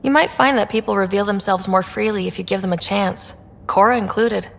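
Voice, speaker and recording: Creaky-voiced, level-headed space leader. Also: female voice, mid-range voice, brisk, long reverb tail. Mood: curious, wry. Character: level-headed, friendly young female space expedition leader. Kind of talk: video game character dialogue.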